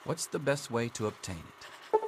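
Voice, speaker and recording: An actor playing a dry, sarcastic schoolteacher running an exam in a video game. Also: male voice, medium pitch, sing-song, measured pace, noisy background. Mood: droll, reciting. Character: sardonic male vault schoolteacher giving an exam. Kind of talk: scripted video game character dialogue.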